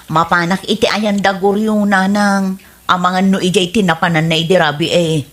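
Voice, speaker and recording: A woman's voice: fairly low pitch, fairly even intonation, normal speed, a bit bright, natural voice. A woman acting as a worried, contrite young wife with an Ilocano accent, speaking plaintively in a radio comedy drama. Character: married daughter Dominga, worried and contrite wife.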